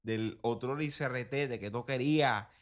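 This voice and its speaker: A man's voice: medium pitch, normal speed. A man giving casual, opinionated commentary in a colloquial, critical manner, as a podcast host.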